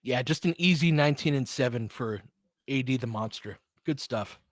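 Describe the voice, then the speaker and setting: A man's voice: medium pitch, normal speed, no echo, clean studio sound. A man hosting live basketball play-by-play in short, clipped phrases, openly cheering for his team. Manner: clipped, fragmentary play calls. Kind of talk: live fan-side play-by-play commentary.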